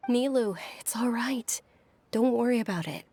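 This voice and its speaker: An actress playing a selfless, gentle young noblewoman in a video game, with a soft, breathy, reassuring voice. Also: fairly high pitch, normal speed, slight background noise, close to an everyday voice. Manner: reassuring, wistful.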